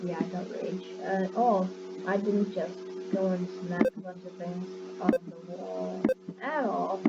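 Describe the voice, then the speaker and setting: A young woman hosting her own gaming channel, giving casual, deadpan commentary in a voice she calls monotone. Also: lowish voice, measured pace, creaky. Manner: deadpan, self-described monotone. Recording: dull lo-fi sound with loud music.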